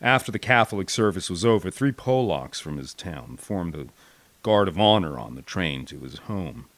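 An American man with a fairly low voice narrates a wartime memoir. He reads from a script like a storyteller, expressive and dramatic. The recording is dry, with very little room sound. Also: normal speed, no echo. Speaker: audiobook narrator. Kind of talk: scripted first-person war memoir narration.

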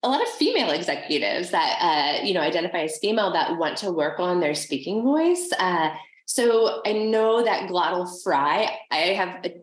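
A woman speech therapist giving an interview, explaining things with enthusiasm, with vocal fry at the ends of her phrases. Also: fairly low pitch, sing-song, moderate pace, reverberant.

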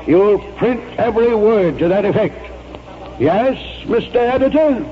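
An actor playing a proud, stubborn old retired army colonel in a 1940s radio drama, speaking in a pompous, formal, oratorical way. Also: male voice, high-pitched, lively intonation, measured pace. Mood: indignant, defiant. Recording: muffled, noisy 1940s radio recording.

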